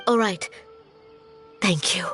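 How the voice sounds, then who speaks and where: A woman's voice: mid-range voice, takes it slowly, a bit bright, faint music behind. A worried, polite mother. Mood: grateful. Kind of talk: video game voice acting.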